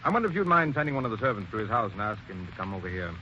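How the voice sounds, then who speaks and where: An actor playing a brisk, businesslike police sergeant in a 1940s radio mystery, questioning in a commanding way. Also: male voice, fairly low pitch, sing-song, bright, forceful tone. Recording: dull old radio recording with faint hiss.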